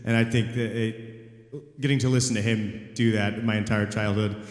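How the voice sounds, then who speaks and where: A man voice actor on a convention panel, talking in an enthusiastic, slightly halting way. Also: on the low side, steady tone. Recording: echoey convention hall.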